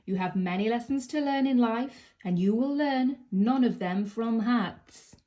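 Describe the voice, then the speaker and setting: A woman's voice: fairly high pitch, a little slow, warm tone, voice pushed up, lightly acted. A woman voicing a stern, worried mother pig in a storybook, lecturing her child. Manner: scolding, lecturing.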